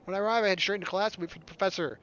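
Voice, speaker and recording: A man's voice: high voice, fairly even intonation, racing, a bit bright, clean tone, muffled recording. A man reading a visual novel aloud as a let's player, dropping joking, offhand asides over music. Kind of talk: gaming commentary mixed with reading narration aloud. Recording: music under the voice. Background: game soundtrack music.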